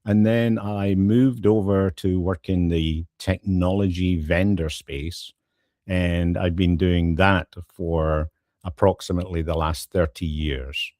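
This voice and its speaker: A mature Scottish man speaks as a guest in a conversational interview. His voice is low and mellow, with polite, measured phrasing and frequent pauses. The sound is dry and close. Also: steady tone, dry recording. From Scotland. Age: middle-aged or older.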